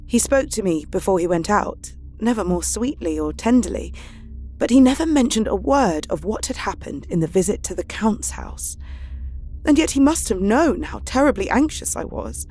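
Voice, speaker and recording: A woman's voice: medium pitch, very animated, normal speed, mellow. An actress playing an anxious, devoted young Victorian wife, reading her journal in a wistful, emotional way for an audio drama. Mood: wistful, hurt.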